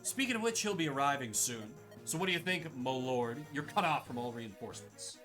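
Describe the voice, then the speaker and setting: A cocky, menacing turncoat lord from a fantasy game, acted out by a man, gloats with big swings in pitch. Music plays underneath. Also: lively intonation, brisk, sharp tone. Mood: gloating.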